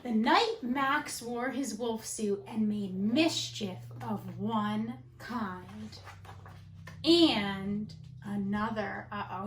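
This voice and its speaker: A woman teacher giving an expressive picture-book read-aloud for children, dramatic, with gasps, whispers and emphasis. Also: mid-range voice, echoey room, a little hiss or hum. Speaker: elementary school teacher.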